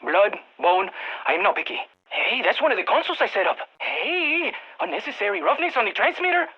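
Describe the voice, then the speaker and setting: A woman voicing an eager, geeky field scientist in a video game, with a Latin American Spanish accent, talking over radio comms in an expressive, quirky way. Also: medium pitch, brisk, husky, muffled recording. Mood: upbeat. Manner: expressive, quirky, nerdy enthusiasm. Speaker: mission guide scientist.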